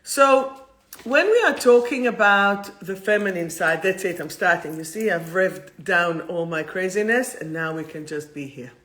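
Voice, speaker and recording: A woman's voice: lowish voice, normal speed, fairly bright tone. A woman with an Israeli accent hosting a chatty livestream spiritual talk in an effusive, playful, rambling manner. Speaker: host of a livestream soul talk.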